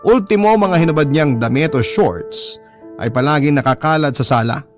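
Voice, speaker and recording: Filipino male storyteller over clear background music. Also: on the high side, normal speed. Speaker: radio drama narrator. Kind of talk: emotive scripted first-person letter reading.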